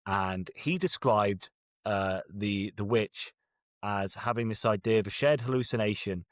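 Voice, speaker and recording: A man's voice: lowish voice, measured pace, dry recording, studio quality. A British man talking casually as a guest author, wry, often hesitating and correcting himself mid-thought. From Birmingham, England. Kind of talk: casual interview conversation.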